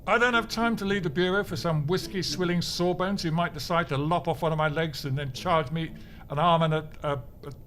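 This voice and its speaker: A man's voice: a little high, fairly quick, a bit bright, a little hiss or hum, close to an everyday voice. A man acting a grumpy, pompous, melodramatic office clerk in a comedy audio drama, blustering with theatrical indignation. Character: pompous, grumpy, melodramatic male bureau clerk, martial-arts dabbler.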